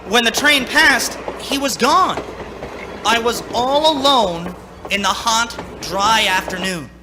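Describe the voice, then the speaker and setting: A man's voice: very high-pitched, takes it slowly, noisy background. An actor playing a haunted, anxious young male driver in a radio play, speaking in a stammering, urgent, theatrical way. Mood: haunted, brooding. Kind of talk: theatrical radio play acting with first-person narration. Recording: stage radio play with music.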